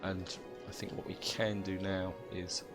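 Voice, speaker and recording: British guy with a cold, croaky and deep, rambling thru his gameplay, music under it. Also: level tone, measured pace. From Britain. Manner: thinks aloud, chatty, addresses viewers as guys. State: croaky from a heavy chest cold. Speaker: gameplay commentator. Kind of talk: casual game commentary.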